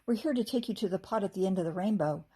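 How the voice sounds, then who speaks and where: A woman's voice: medium pitch, quick pace, mellow, slightly rough, close to an everyday voice. Eager, caring young storybook girl voiced by a woman. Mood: friendly, eager.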